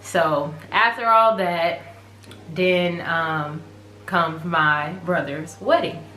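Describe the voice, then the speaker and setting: A woman's voice: lowish voice, very slow, bright, forceful tone, echoey room, soft background music. A woman, co-hosting a casual story time vlog in a chatty, teasing way. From Texas, USA. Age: 20s. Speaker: vlog co-host.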